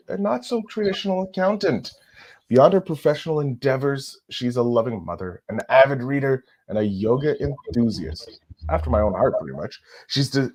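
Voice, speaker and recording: A man from Canada with a slightly husky, unpolished voice hosts an interview podcast. He sits at a middling pitch and reads introductions and puts questions, now and then tripping over or repeating his words. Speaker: podcast host.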